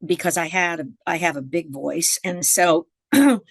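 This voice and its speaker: A middle-aged woman from Miami, a jazz singer, telling her story in a relaxed interview, chatty with fillers and restarts and frequent laughs. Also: lowish voice, moderate pace, dry recording, clean studio sound. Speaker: guest jazz vocalist.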